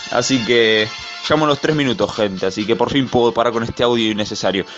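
A man's voice: mid-range voice, fast talker, fairly bright tone, soft background music. A man talking casually on his own in a rambling, self-deprecating way, introducing himself in a voice message. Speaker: fan sending a voice message. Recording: dull lo-fi voice message recording.

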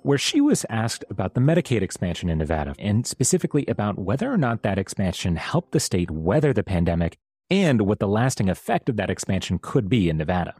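A man hosting a podcast recap, reading smooth scripted narration. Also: fairly low pitch, big pitch swings, fast talker, a little mellow, dry recording. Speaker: podcast host.

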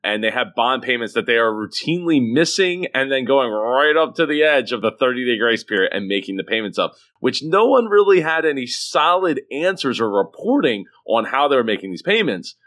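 A man's voice: mid-range voice, big pitch swings, brisk, few pauses, fairly bright tone. A man hosting a podcast, talking casually and emphatically about business, often repeating short phrases. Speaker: podcast host. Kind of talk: animated casual business discussion.

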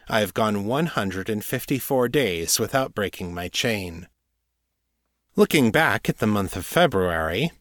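A man reads scripted narration as a podcast host. His voice sits fairly low, and the sound is dry and close, with very little room in it. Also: fairly bright tone, no room sound. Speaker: author narrating own audiobook podcast and host.

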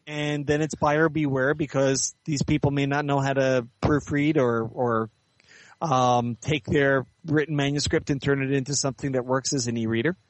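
A man giving measured, articulate, explanatory answers as an author and editor being interviewed in conversation. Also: mid-range voice, fairly even intonation, no room sound, poor audio.

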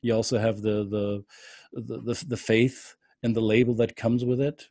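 A man's voice: fairly low pitch, level tone, normal speed, warm tone, no echo, clean studio sound. A man hosting an interview, asking loosely phrased, musing questions. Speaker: podcast host. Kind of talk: interviewing a guest.

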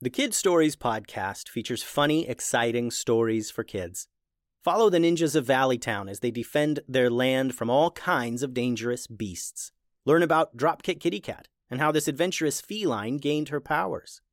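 A man's voice: mid-range voice, expressive, normal speed, no echo, clean studio sound. A man reading a scripted promo for a children's podcast. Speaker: promo announcer.

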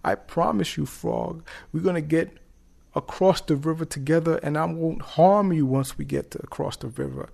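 A man's voice: fairly high pitch, moderate pace, creaky, darkish tone, voice pushed up. A man voicing a whimpering, sly scorpion in a fable, pleading in a whiny, repetitive way. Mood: earnest coaxing. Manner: whiny begging, repeats pleas.

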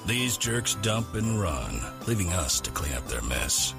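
Male ad narrator, low and sarcastic, lively pitch, music bed clearly under. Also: measured pace. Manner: scornful, sarcastic. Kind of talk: scripted mocking ad narration.

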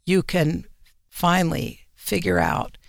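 A woman's voice: fairly low pitch, big pitch swings, slow, gravelly, no echo, clean studio sound. A woman speaking as a magazine editor being interviewed, explaining her views in an earnest, emphatic, conversational way. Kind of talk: conversational interview commentary on religious issues.

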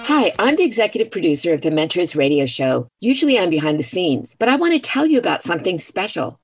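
A woman's voice: fairly low pitch, big pitch swings, quick pace, breathy edge, no echo, soft background music. A woman, a show's executive producer, reading a scripted radio ad in a chatty testimonial style.

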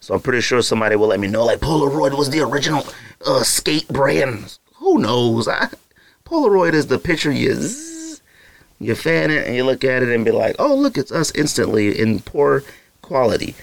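A man's voice: mid-range voice, sing-song, normal speed, creaky. A young man hosting a podcast, talking casually and rambling, with many fillers and asides. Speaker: podcast host. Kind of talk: casual solo podcast talk.

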